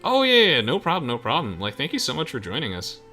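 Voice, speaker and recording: A man's voice: mid-range voice, huge pitch swings, quick pace, sharp tone. A young man hosting a gaming video, chatting casually and enthusiastically as he comments on the play. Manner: enthusiastic, gushing. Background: game music.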